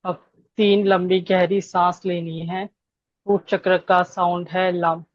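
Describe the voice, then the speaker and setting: A woman leading a guided meditation, giving gentle instructions with long pauses between phrases. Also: lowish voice, flat delivery, no echo, dull audio.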